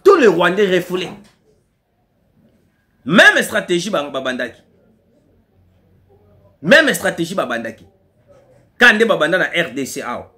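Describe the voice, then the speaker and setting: A Congolese-accented man delivers fiery political commentary with a high voice that leaps up and down. He presses his case hard and repeats key lines for emphasis. He sounds like a commentator addressing his online audience. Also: huge pitch swings, measured pace, long pauses, pushed and bright. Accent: Congolese French.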